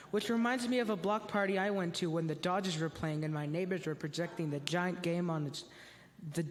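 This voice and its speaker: Casting: goofy, easygoing boy, male performer, high voice, casual and eager, church skit in a reverberant hall. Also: close to an everyday voice. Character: easygoing, food-loving goofy boy who wanders off topic. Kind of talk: youth church reader's theater. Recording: echoey hall with long reverb.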